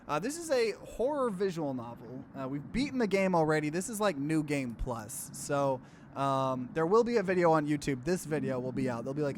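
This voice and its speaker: Guy doing a lets play, bit high voice, reads game text then chats casually, faint background noise. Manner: switches between reading aloud and offhand commentary. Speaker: streamer, let's player. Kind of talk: let's play reading visual novel narration aloud with casual asides.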